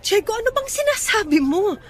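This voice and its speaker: A woman's voice: extremely high pitch, big pitch swings, a little fast. A woman playing a flustered, guarded middle-aged woman in a Filipino radio romance drama, questioning and protesting in bewilderment. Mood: bewildered.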